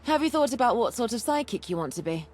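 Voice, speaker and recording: An actress playing a plucky, adventurous treasure-hunting game companion, jaunty and bossy in a theatrical way. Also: female voice, on the high side, sing-song, quick pace, a little mellow. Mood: eager, inquisitive. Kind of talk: video game companion dialogue.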